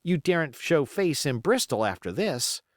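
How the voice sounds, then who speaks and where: A man's voice: on the high side, big pitch swings, moderate pace, dry recording, studio quality, pitched above the performer's own voice. A man playing a naive young seaman, eager and impressionable, in an adventure storybook. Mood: doubtful, questioning. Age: young adult. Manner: eager, wide-eyed, impressionable.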